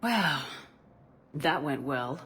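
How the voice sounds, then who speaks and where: An actress playing a stern, impatient military commander in a sci-fi video game, firm, terse and blunt. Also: female voice, sits low, animated, very slow, fairly bright tone, husky, exaggerated voice. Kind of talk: dramatic video game acting.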